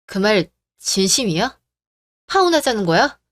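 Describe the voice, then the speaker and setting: A woman voicing a calm, firm young woman who argues in a reasoned way in a story radio drama. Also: medium pitch, unhurried, dry recording, clean studio sound.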